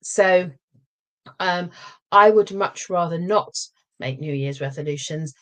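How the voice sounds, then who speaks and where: Low-pitched woman, slightly croaky, thoughtful podcast co-host. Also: slow pace. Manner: thoughtful, with many ums and restarts. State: slightly croaky after a cold. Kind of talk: casual chat.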